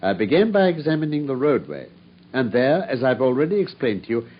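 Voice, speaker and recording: An actor playing a confident Victorian detective with a British accent, precise and lecturing, in a radio drama. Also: male voice, mid-range voice, very animated, a little fast. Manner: precise, lecturing, self-assured. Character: confident, analytical male Victorian detective explaining his methods. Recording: dull, muffled radio drama recording.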